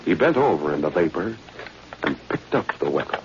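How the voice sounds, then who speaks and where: A man's voice: very deep voice, very animated, moderate pace. A man narrating a scripted drama in dramatic 1940s radio style. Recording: muffled, noisy old-time radio recording.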